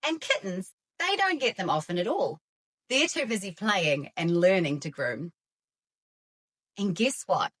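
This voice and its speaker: A woman hosting an expressive read-aloud for young children, with dramatic, playful emphasis. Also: medium pitch. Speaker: storyteller and host for young children.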